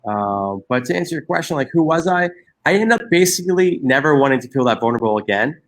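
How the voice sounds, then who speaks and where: A man's voice: medium pitch, animated, quick pace. Earnest man with lots of restarts. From Egypt. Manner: earnest, many restarts and fillers. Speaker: guest, social entrepreneur. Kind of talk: reflective interview conversation.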